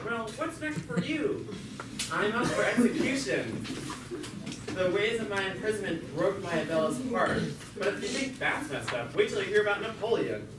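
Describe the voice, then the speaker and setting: A man playing a talkative jailed revolutionary who narrates his story in an amateur puppet show with sardonic, stumbling asides. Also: high-pitched. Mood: wry. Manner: conversational, sardonic asides, stumbling over words. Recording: echoey, very noisy, distant mic.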